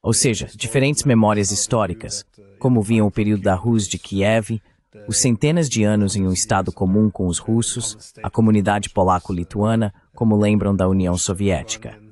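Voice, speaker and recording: Male voice-over for an interview host, somewhat low pitch, conversational analysis. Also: normal speed, darkish tone. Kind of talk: dubbed interview translation, conversational commentary.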